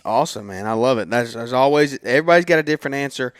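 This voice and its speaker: A man's voice: mid-range voice, animated, rapid-fire, no echo, clean studio sound. A man hosting a casual interview podcast, speaking in an informal, effusive and encouraging way. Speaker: podcast host.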